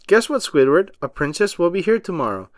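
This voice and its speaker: A man puts on a giddy cartoon sea sponge for a storybook, all squeals and wide-eyed eagerness. The voice sits high and leaps around. The sound is dry and close. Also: very animated, brisk, dry recording. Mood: excited. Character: overexcited cheerful young male sea sponge. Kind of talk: children's storybook read-aloud.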